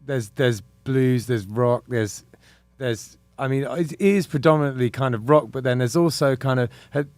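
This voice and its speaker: A man hosting a music podcast, asking questions in an easygoing, halting way with lots of fillers. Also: mid-range voice, a little mellow, dry recording. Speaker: podcast host. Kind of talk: casual interview.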